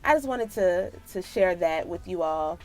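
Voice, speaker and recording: A woman's voice: lowish voice, lively intonation, measured pace, darkish tone, soft background music. An American woman telling a personal story and giving advice in a casual, slangy, emphatic way, speaking straight to her listeners. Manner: emphatic, slangy, sisterly direct address to listeners. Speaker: storytelling vlogger.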